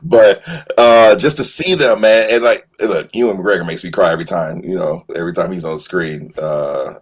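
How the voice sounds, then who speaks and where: A man's voice: sits low, moderate pace, breathy edge. A man talking casually and enthusiastically as a fan on a panel, effusive and slangy. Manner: effusive, slangy, addresses listeners as bro and man.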